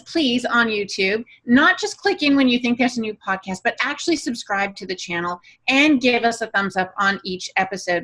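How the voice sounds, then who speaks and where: A woman's voice: mid-range voice, a bit bright. A woman hosting a podcast in a chatty way, with playful, teasing banter. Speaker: podcast host and moderator.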